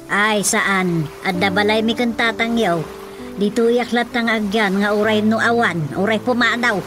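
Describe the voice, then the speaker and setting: A woman's voice: mid-range voice, steady tone, moderate pace, natural voice. A woman acting a patient, resigned older mother who placates her family in an Ilocano radio drama, over music. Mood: concerned.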